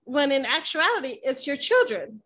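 Woman in her thirties, bright forceful voice, casual podcast interviewing, effusive, chatty, giggly. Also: high voice, sing-song, normal speed. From born in the Philippines, moved to the United States at seven. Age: 30s. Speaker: podcast host.

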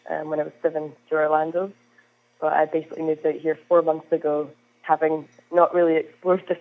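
A young Scottish woman from the Hebrides, a whisky brand ambassador, chatting as an interview guest with frequent "um"s. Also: sits low. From Scotland, Isle of Lewis. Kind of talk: conversational interview answers. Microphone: phone call. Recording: tinny phone line.

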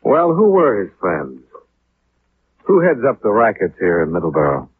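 An actor playing a tough, skeptical American insurance investigator in a 1950s radio drama, with a dry, clipped, probing delivery. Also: male voice, lowish voice, wildly swooping pitch, normal speed, breathy edge, no echo, exaggerated voice. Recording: tinny, muffled 1950s radio recording.

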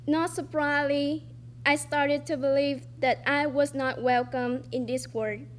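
A teenage girl from Vietnam reading her personal essay aloud on a stage, slowly and carefully, with pauses. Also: high voice, steady tone. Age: teens. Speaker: student storyteller. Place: performing arts center stage.

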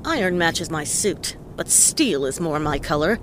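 An actress playing a tough, wisecracking female private detective in a noir audio play, speaking in a deadpan, sardonic way. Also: low-pitched, unhurried, background noise. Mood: dry, cocky. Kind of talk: hard-boiled noir radio play acting. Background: prominent music.

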